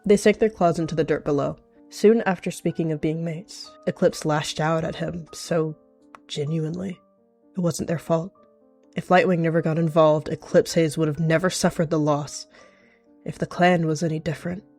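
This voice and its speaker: A man's voice: fairly high pitch, steady tone, moderate pace, gravelly, darkish tone, soft background music. A man giving dramatic third-person narration as a player in a story roleplay.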